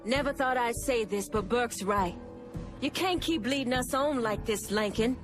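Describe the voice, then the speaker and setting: A woman's voice: on the high side, normal speed, over music, natural voice. A woman playing a tough, fed-up crime underboss in a dramatic video game scene, blunt and warning.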